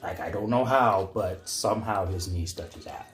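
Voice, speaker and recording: A young adult man telling a story in casual, slangy chat, halting and repeating himself with some disbelief. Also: sits low, slight background noise. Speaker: guest co-storyteller. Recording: echoey, roomy sound.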